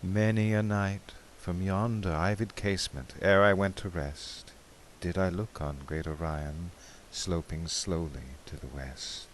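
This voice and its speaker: A man giving a dramatic poetry recitation in a measured, theatrical, expressive way, with drawn-out phrases. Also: low-pitched, slight background noise, low-quality recording. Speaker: poetry reader.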